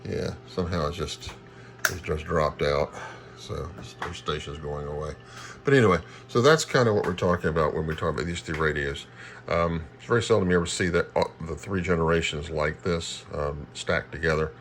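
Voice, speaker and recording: A man giving a casual hands-on demonstration as a hobbyist, halting and thinking aloud as he works. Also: very deep voice, fairly bright tone. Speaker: radio hobbyist demonstrating equipment. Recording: clear radio static and hiss behind. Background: shortwave radio noise.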